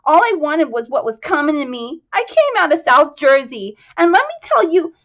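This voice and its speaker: A woman's voice: big pitch swings, normal speed, dull audio. A woman voicing a grieving, indignant widow who talks through sobs and hiccups. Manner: sobbing, hiccuping, high and loud. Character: grieving widow from South Jersey, tearful and indignant.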